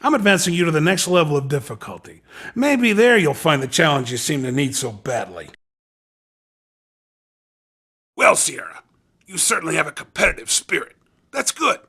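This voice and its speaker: Upbeat young hoverboard promoter dude from a game, super bright and swoopy, cocky slangy pitch. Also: male voice, sing-song, brisk, husky, exaggerated voice. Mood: persuasive, encouraging. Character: Skid, upbeat young male hoverboard race promoter and racer. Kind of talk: scripted video game character dialogue.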